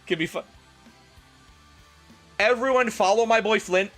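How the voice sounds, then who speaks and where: A man's voice: sits high, unhurried, a bit bright, faint music behind. A man hosting a livestream, bantering crudely and jokingly between bits of theatrical fan-fiction reading. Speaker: livestream host.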